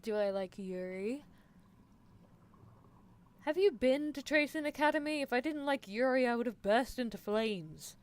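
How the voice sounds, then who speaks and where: A woman's voice: on the high side, expressive, unhurried, long pauses, clear, resonant tone. A woman voicing a playfully menacing, teasing female mad scientist in a roleplay ASMR stream, musing theatrically. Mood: teasing, chatty. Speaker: livestreamer. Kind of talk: roleplay ASMR livestream, answering chat in character.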